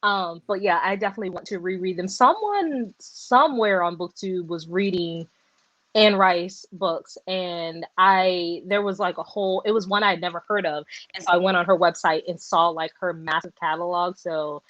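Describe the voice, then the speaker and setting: A woman chatting casually about a book on a live stream, rambling and animated with lots of fillers and restarts. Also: lowish voice, moderate pace, no echo. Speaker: book discussion co-host. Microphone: video call. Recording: dull, dry lo-fi sound.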